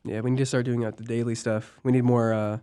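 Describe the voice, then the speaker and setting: Young guy hosting a podcast, bit raspy from a cold, upbeat and chatty, mid pitch. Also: level tone, quick pace, dark tone. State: hoarse from a cold. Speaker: podcast host. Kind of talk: casual podcast chat. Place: campus podcast studio.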